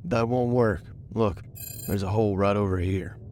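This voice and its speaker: A man's voice: lowish voice, steady tone, normal speed, darkish tone, soft background music, lightly acted. A man playing a homesick, defensive spaceship crewman in an audio drama, blunt and informal. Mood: blunt, casual.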